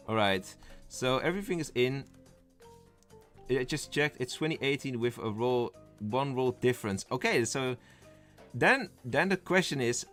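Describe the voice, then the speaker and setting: A man's voice: medium pitch, a bit bright. A young man, a native Dutch speaker, streaming live in casual chat, rambling and thinking aloud as he reads the chat and lists game roles.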